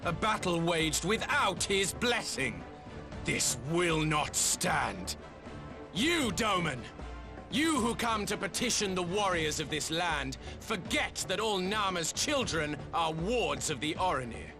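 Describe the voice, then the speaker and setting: An imperious, grandiose warrior lord from a video game. He has a high voice and declaims slowly with theatrical pomp and archaic phrasing, music clearly behind him. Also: sing-song, exaggerated voice. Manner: pompous, theatrical, archaic speech.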